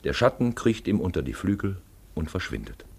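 A deep lyrical narrator for an old-style audio play, very animated, dramatic delivery. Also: male voice, brisk, creaky, husky, full character voice. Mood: poetic, dramatic. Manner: dramatic, lyrical, swelling and dropping away. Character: male storyteller, poetic and dramatic radio-play narrator. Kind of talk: scripted literary radio-play narration.

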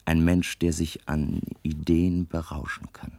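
A man playing a composed, self-assured inventor from a future society in a scripted German science fiction radio play, speaking in a measured, articulate way. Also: rumbling low, little pitch movement, vocal fry, a little mellow, heavily acted. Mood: reflective, weary. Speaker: narrator and lead character in a radio play. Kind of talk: scripted German radio drama, first-person narration.

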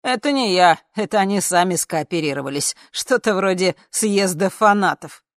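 A woman's voice: lowish voice, animated, moderate pace, dry recording, studio quality, voice pushed up, close to an everyday voice. A woman voicing a brash, earnest male bar musician who talks in slangy, insistent bravado. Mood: proud, breezy.

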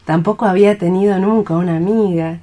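An actress playing a shy, lonely, childlike young nurse in a radio play, earnest and naive. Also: female voice, lowish voice, fairly even intonation, fairly quick, dark tone, lightly acted. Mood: tender, happy.

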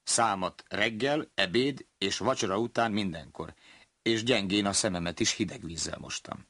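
A man's voice: lowish voice, fairly bright tone, no echo. An actor reading as an old-time man recounting his personal habits in a dramatized memoir. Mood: matter-of-fact recollection.

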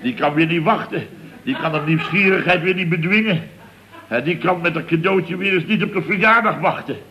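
A man's voice: a little high, fairly quick, pushed and bright, muffled recording. A man playing a flustered, indignant contractor boss in a radio comedy, blustering, sputtering and repeating himself. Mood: exasperated.

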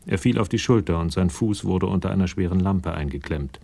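A man with a gravelly voice reading scripted literary narration for a German radio crime drama. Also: sits low, fairly quick, mellow.

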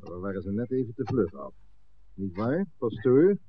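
A man's voice: lowish voice, very animated, moderate pace, exaggerated voice. An actor playing a scheming, impatient male gang leader in a 1960s radio drama, with a curt, commanding manner. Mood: gloating, brisk. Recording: muffled, phone-like old radio recording, clear hiss.

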